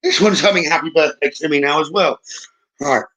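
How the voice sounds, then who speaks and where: A man hosting a live psychic reading stream, talking informally to viewers and thinking aloud in a halting way as he reads comments. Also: fairly high pitch, fairly bright tone, poor audio.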